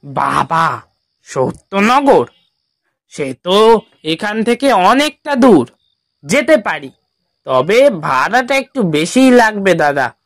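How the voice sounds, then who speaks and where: A man's voice: high voice, very animated, slow, long pauses, fairly bright tone, no echo, studio quality, exaggerated voice. A man voicing a mysterious middle-aged auto-rickshaw driver in a horror cartoon, blunt and haggling.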